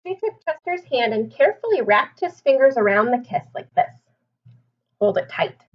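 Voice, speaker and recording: A woman's voice: sits high, big pitch swings, brisk, dull audio. A woman teacher reading a storybook aloud to young children, expressively, with simple explanations and questions.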